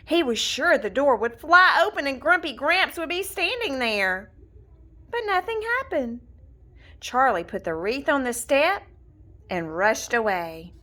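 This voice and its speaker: A woman reading a children's storybook aloud in an expressive, deliberate storytelling manner. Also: high-pitched. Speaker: storybook reader. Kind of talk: scripted children's story narration, read aloud.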